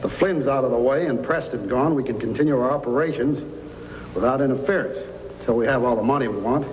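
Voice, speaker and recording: Man acting scheming villain, middle-pitched, greedy, irritable, long echo, old radio. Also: fast, a little mellow, close to an everyday voice. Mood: cold scheming. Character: cold-blooded, scheming crook boss, irritable and greedy. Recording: echoey, faintly noisy old radio recording.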